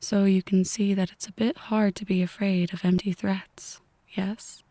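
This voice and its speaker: Woman acting a hushed, possessive female mafia boss. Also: medium pitch, level tone, no echo, natural voice. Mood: teasing, menacing. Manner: hushed, half-whispered, slow, mock-affectionate. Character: possessive yandere female mafia boss, coolly menacing and teasing. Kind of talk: ASMR roleplay.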